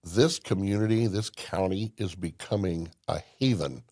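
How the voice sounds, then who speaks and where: A man's voice: deep voice, moderate pace, a little mellow, no room sound, clean studio sound. An older man from Indiana, a podcast guest telling folksy stories with emphasis and frequent restarts. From Indiana, USA. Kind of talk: casual conversation.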